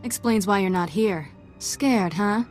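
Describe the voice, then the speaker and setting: Sarcastic young female sidekick for a sci-fi game, plucky, medium pitch; female actor. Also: measured pace, natural voice. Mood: wry, defiant. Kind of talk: video game voice acting. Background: music.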